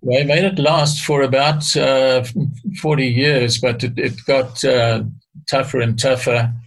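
An older man speaks in a gravelly, creaky voice that stays flat and level in pitch. He explains things in a measured, hesitant way, often pausing and starting phrases again, as a guest being interviewed. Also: poor audio. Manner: hesitant, measured, frequent restarts. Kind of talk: explaining history in conversation.

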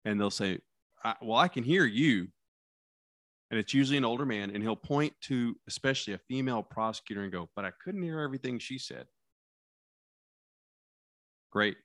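A man who is a criminal defense lawyer talking casually about trial practice, with a loud, carrying voice, acting out courtroom lines and dropping his voice low for effect. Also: normal speed, pauses often, dry recording, clean studio sound. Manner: animated storyteller, acts out courtroom lines, drops voice low for effect.

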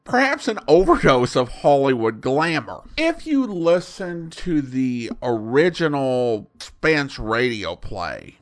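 A man giving measured, conversational and opinionated review commentary as the host of a show. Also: mid-range voice, sing-song. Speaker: host reviewing old radio drama. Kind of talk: semi-scripted review commentary.

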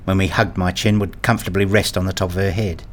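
A man reading a scripted first-person story as narrator, in a measured, introspective manner. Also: low-pitched, steady tone, a little hiss or hum.

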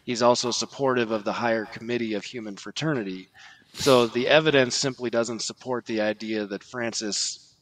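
A man speaking as a podcast commentator, explaining religious news in a measured, factual way. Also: medium pitch, fairly even intonation, a bit bright, rough recording.

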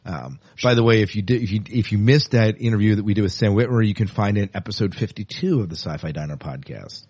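A man with a fairly low voice co-hosts a podcast in a conversational style. He moves between casual chat and reading news items, sometimes thinking aloud. The sound is dry, with very little room in it. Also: fast talker, few pauses, a little mellow, husky, no echo. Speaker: podcast co-host.

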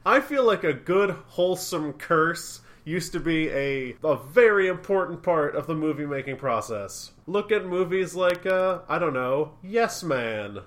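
A young man co-hosting a casual comedy podcast while sick, trading deadpan absurd jokes. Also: fairly high pitch, a little slow, hardly any pauses. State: sick with a cough. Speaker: podcast co-host.

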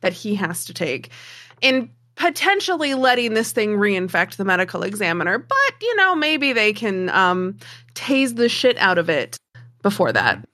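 A woman playing a tabletop game, improvising casually in character with a halting, wry delivery. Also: medium pitch, very animated, sharp tone, no echo.

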